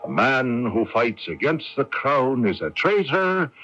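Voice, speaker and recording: An actor playing a condemned Irish patriot in a radio drama, bitter, fatalistic and eloquent, with a theatrical, sardonic and deliberate delivery. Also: male voice, medium pitch, very animated, fairly bright tone, faint music behind, heavily acted. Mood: bitter, defiant. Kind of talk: radio drama acting with narration. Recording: dull, muffled 1980s AM radio recording.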